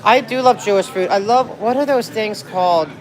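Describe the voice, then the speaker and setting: A woman's voice: mid-range voice, moderate pace, crowd noise, low-quality recording. Young woman streamer from New Jersey, chatty and rambling, busy crowded spot. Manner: chatty, rambling, reads viewer comments aloud. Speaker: livestreamer. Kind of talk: casual livestream chat.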